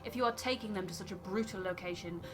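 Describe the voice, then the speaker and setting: A woman's voice: mid-range voice, quick pace, over music, close to an everyday voice. A woman voicing a proud, exacting sled dog breeder with a formal, firm and haughty manner in a story game. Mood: firm, cool.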